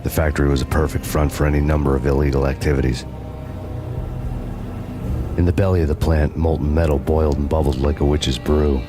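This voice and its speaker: Brooding male noir narrator, extremely deep, monotone, score under him. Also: a little fast, pauses often, music in the background. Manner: bleak, brooding monologue. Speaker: narrator reading a game story.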